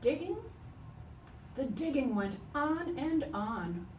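Casting: female storyteller, unhurried and expressive, highish voice, recorded in an echoey room. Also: over loud music. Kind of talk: dramatic, expressive folk tale narration. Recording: echoey room, distant microphone. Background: live cello playing.

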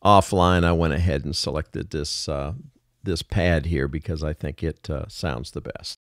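A man's voice: rumbling low, fairly even intonation, measured pace, dry recording, clean studio sound. A man narrating a casual music production tutorial, explaining things informally step by step.

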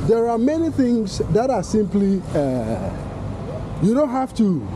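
A young Ghanaian man vlogging as he walks a city street, repeating himself and checking in with "you understand?" as he talks. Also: sits high. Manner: repetitive, keeps asking "you understand?". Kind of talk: walking vlog monologue. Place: city street outdoors, walking. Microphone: handheld 360 camera. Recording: loud street and traffic noise. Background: passing traffic.

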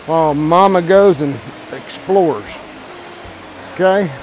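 A man's voice: fairly high pitch, slow, darkish tone, poor audio. Folksy man filming a hike outdoors. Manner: folksy, easygoing, talks while walking. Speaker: hiking vlog host. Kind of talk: casual trail vlog. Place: outdoors on a wooded trail. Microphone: phone camera at arm's length. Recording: outdoor noise, stream and wind behind.

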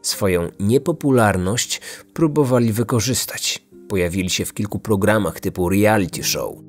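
Casting: male true crime podcast narrator, low register, scripted delivery over music. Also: moderate pace.

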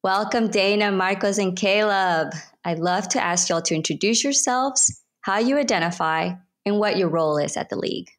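A woman's voice: mid-range voice, normal speed. A woman from Ecuador who grew up in North Carolina, hosting a podcast with introductions and conversation. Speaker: podcast host.

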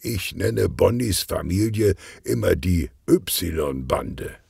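A man voicing a genial, teasing police detective chief in a children's audiobook, in an unhurried, good-humoured manner. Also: deep voice, lively intonation, gravelly, a little mellow, husky, no echo, studio quality, exaggerated voice. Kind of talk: children's audiobook character reading.

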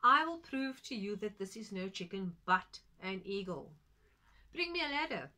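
A determined, earnest man calling out slow, emphatic commands, as a storyteller puts him on. The bright voice carries firm conviction and insistence. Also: mid-range voice, sing-song. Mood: insistent. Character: determined, insistent male friend of the farmer.

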